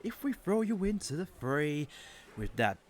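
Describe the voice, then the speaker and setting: An actor playing a haughty, sardonic villain commander in a roleplay audio drama, condescending and drawling, with mock-sweet menace. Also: male voice, on the high side, sing-song, a little slow. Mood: sarcastic, threatening.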